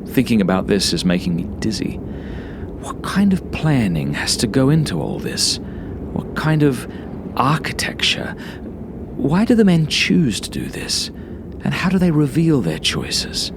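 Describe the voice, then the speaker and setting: A man narrating a first-person horror story like a journal, brooding and sometimes hushed, in short halting phrases. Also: mid-range voice, background noise. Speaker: author reading own horror story.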